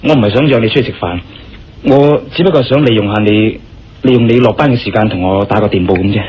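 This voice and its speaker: A man with a Hong Kong Cantonese accent playing a confident, persuasive injured adventurer in a radio drama, smooth-talking and assertive. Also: fairly low pitch, quick pace, crowd noise, natural voice. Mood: coaxing, earnest. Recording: dull lo-fi radio drama recording. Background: music.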